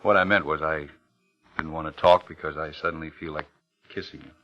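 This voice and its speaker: Casting: smooth-talking hard-boiled man, bass-leaning, guarded, vintage radio noir with music underneath. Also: fairly even intonation, brisk. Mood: guarded, coaxing. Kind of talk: 1950s radio drama acting with noir narration. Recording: dull old radio recording, music underneath.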